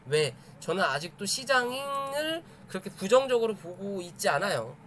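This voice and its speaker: A man's voice: high voice, big pitch swings, moderate pace, fairly bright tone, slight background noise. A man explaining charts to viewers in a conversational, emphatic live commentary, often repeating his points. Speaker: stock chart analysis streamer.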